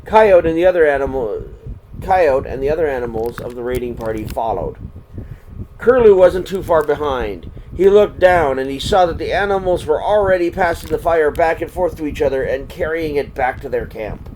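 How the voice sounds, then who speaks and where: A man reading a children's storybook aloud as a storyteller, with deliberate phrasing and pauses. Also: on the high side, low-quality recording. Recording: steady air-conditioner noise behind.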